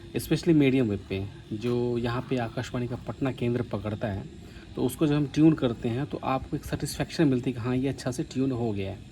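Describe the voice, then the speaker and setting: A man from India giving a casual, explanatory hobbyist demonstration, pausing now and then mid-sentence. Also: medium pitch, mellow. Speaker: vlogger demonstrating a vintage radio. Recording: faint background noise.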